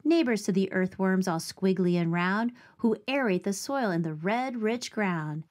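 A woman in her forties reads aloud for young children in a medium-pitched voice. Her delivery is deliberate and expressive, with the phrasing of a storybook reading led by a teaching artist.

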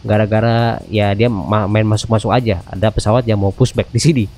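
Mellow Indonesian man casually narrating gameplay. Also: lowish voice, fairly even intonation, brisk. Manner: chatty, informal, slipping into English radio-style phrases. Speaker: gaming video host. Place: indoors at a computer, music behind.

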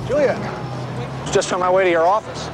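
A man's voice: high-pitched, expressive, fairly quick. An actor playing a weary, principled lawyer in his forties, terse, with an American accent. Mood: subdued. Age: 40s. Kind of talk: understated television drama acting. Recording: noisy television drama soundtrack.

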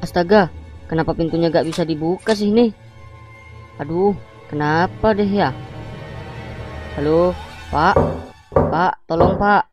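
A man acts out a young male college student in a cartoon, skeptical yet easily scared. His voice sits fairly high, with a Jakarta accent and an expressive, colloquial delivery. Light music runs underneath. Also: measured pace, long pauses, close to an everyday voice. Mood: uneasy, then terrified. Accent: Jakarta Indonesian. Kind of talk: animated cartoon dialogue.

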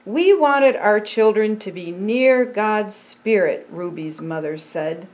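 A woman in her sixties who is a pastor, reading a picture book aloud in simple, deliberate phrases and explaining it as to a child. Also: mid-range voice, lively intonation, a little mellow. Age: 60s. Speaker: pastor and storytime reader. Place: indoor storytime set by a fireplace.

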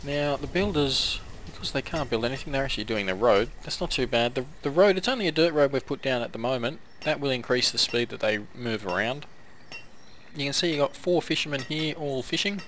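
A man giving casual commentary while playing a strategy game, hoarse and coughing now and then as his voice wears out, chatting to his villagers as he goes. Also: mid-range voice, animated, brisk, fairly bright tone, slight background noise. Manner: chatty, talks to the game's villagers. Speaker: gameplay commentator. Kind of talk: casual let's-play commentary.

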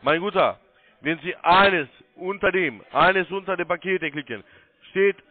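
A man with a slightly high voice gives phone sales instructions as a call centre agent. He is insistent and repeats himself. The sound is a narrow, muffled phone line, dry and free of room sound. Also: a little slow, dry recording. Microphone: telephone call.

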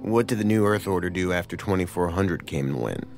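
An actor playing a methodical, matter-of-fact federal agent in an audio drama. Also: male voice, deep voice, expressive, a little fast, darkish tone, soft background music.

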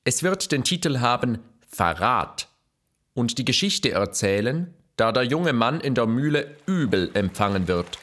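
A male actor playing a courtly, affectionate middle-aged poet reading letters and verse in a measured, formal way for a radio play. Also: mid-range voice, very animated, fairly bright tone. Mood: courtly, tender. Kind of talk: expressive literary letter and poem reading.

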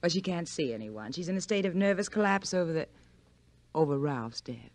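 A woman's voice: deep voice, expressive, fairly quick. An actress playing a cool, sardonic, unsentimental young woman in a 1940s radio drama. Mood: coy.

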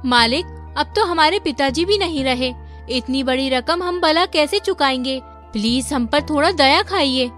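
Woman playing worried young cartoon woman, earnest, dutiful, high voice, music behind. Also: expressive, unhurried, a bit bright. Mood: pleading. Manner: earnest, pleading.

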